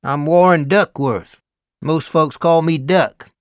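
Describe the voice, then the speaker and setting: A man voicing a hulking, tattooed male convict with a Deep South accent, talking in a coarse, bossy drawl. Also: slow, clean tone, no room sound, clean studio sound.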